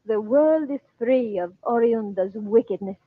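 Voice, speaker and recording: An actress playing an anxious, devoted Egyptian princess in an old-time radio drama, with formal, foreign-sounding phrasing. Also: female voice, on the high side, expressive, a little slow. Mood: earnest, urgent. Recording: thin, roomy, phone-like old radio recording.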